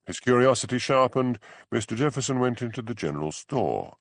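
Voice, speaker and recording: A man's voice: mid-range voice, lively intonation, fairly quick, no room sound, studio quality. A man reading a novel aloud as a scripted audiobook narration.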